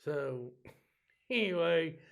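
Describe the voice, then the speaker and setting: Man, somewhat high voice, slightly hoarse, blunt rambling rant, casual podcast host. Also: expressive, very slow, a bit bright. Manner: ranting, blunt, self-deprecating.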